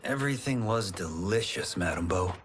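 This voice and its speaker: A man's voice: low-pitched, animated, normal speed, noisy background. A man playing an earnest, polite young fighter in a video game, friendly and modest. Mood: friendly banter. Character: earnest, polite young male fighter, good-natured rival. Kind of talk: video game cutscene acting.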